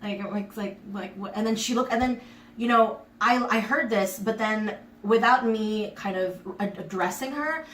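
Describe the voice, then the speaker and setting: A woman chatting casually, telling a story with lots of "like" and "um". Her pitch is ordinary, and she sounds set back from the microphone. The room echoes, with a faint hiss underneath. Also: normal speed, fairly bright tone. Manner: chatty storytelling, many likes and fillers. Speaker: podcast co-host. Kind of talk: casual conversation. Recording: roomy, distant mic, faint noise.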